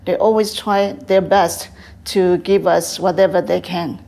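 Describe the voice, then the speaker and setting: Older Taiwanese woman in halting English. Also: fairly low pitch, fairly even intonation, vocal fry, warm tone. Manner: hesitant, halting English with many fillers. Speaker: guest, tea house owner. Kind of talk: interview answers.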